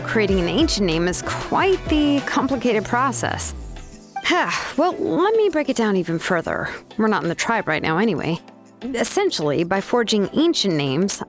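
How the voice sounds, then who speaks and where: A woman's voice: medium pitch, very animated, moderate pace, soft background music, natural voice. A woman playing a laid-back but exacting young craftswoman in a video game, explaining things in a casual, matter-of-fact way. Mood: patiently explanatory.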